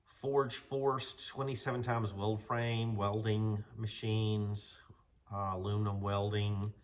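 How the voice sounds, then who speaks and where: A man's voice: fairly low pitch, flat delivery, takes it slowly. A man hosting a casual show-and-tell vlog, talking in a folksy, rambling, enthusiastic way. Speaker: vlog host, vintage garage restorer.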